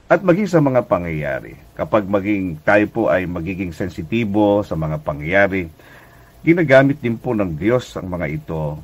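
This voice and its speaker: A man's voice: fairly low pitch, darkish tone, dull audio. A Filipino man preaching as a pastor and radio host, speaking conversationally and earnestly, exhorting listeners with polite address.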